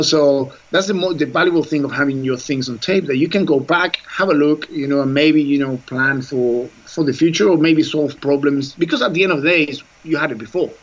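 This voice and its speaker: A man's voice: a little high, dull audio. A man who is not a native English speaker, a rugby scrum coach talking earnestly in an interview, with frequent restarts and fillers. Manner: earnest, many restarts and "you know" fillers. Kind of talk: conversational interview. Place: New Zealand.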